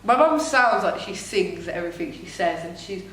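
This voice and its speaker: A woman's voice: lowish voice, animated, moderate pace, a bit bright, recorded from a distance. A young woman chatting on a podcast, thinking aloud in a reflective way. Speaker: podcast co-host, actor. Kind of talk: casual chat. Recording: very echoey room, faint noise.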